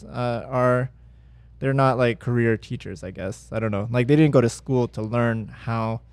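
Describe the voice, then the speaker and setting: A man's voice: mid-range voice, vocal fry, a little mellow, no room sound. A man co-hosting a casual chat, rambling with many fillers and restarts. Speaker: podcast co-host.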